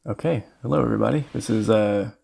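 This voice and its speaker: Low-voiced man doing casual game commentary. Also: fast, vocal fry, a little mellow, slightly rough. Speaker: speedrunner commentator. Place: voice-over recorded over game footage. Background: game music.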